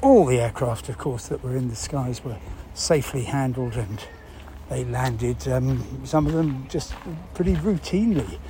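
A man with a mellow, relaxed voice talks listeners through a news story. His delivery is unscripted and halting, as he thinks aloud and asks his own questions before answering them. There is some noise behind him. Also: medium pitch. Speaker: presenter explaining news. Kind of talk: explanatory talk to listeners, unscripted. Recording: clear background noise.

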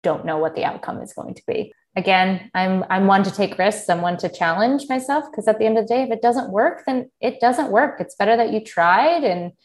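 A woman's voice: mid-range voice, lively intonation, brisk, hardly any pauses. An American woman in her thirties, a podcast guest, speaking in a candid, reflective way and quoting her own thoughts. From United States. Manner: earnest, candid, quotes herself in bursts. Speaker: podcast guest, former Olympic gymnast. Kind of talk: reflective interview talk.

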